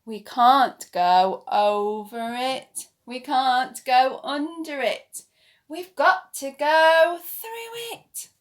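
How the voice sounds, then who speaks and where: A woman reading a children's picture book aloud as a nursery storyteller, with animated, dramatic delivery. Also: high-pitched, extremely slow.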